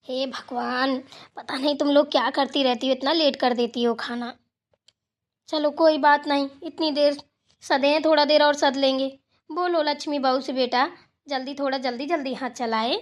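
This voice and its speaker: A woman's voice: high-pitched, steady tone, normal speed, natural voice. A woman voicing a grumbling but caring elderly village mother-in-law in a colloquial, fussing Hindi cartoon family drama. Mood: grumbling, hungry.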